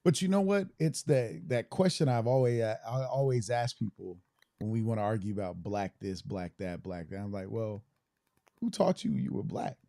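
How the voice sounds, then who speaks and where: Mellow-voiced man, dry close sound. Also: on the low side, lively intonation, normal speed, no echo. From Germany. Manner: reflective, storytelling. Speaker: podcast co-host. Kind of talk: casual discussion.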